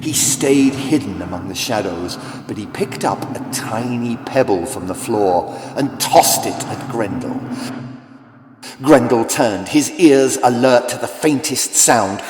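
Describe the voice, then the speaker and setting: A man's voice: medium pitch, animated, takes it slowly, a little mellow. A man narrating a children's audiobook as a dramatic, scripted storyteller. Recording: echoey, long hall-like reverb. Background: music.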